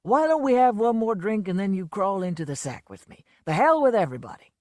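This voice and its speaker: A woman's voice: mid-range voice, sing-song, fairly quick, no room sound, clean studio sound, lightly acted. A woman voicing a drunk, blustering man. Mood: roaring drunk. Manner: loud, swaggering, slurred. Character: drunken blustering man, a boastful folksy entertainer.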